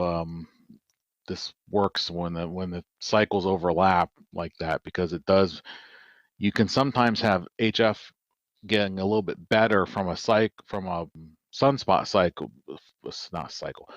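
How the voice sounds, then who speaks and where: A man explaining technical matters informally as a podcast panelist, halting and matter-of-fact, on a dull recording. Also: low-pitched, no echo. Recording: dull, band-limited audio.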